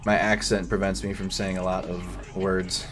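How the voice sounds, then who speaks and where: A man's voice: sits low, flat delivery, moderate pace, over music. Casual man commentating war footage. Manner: casual, swearing, stumbles over foreign names. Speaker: war news streamer.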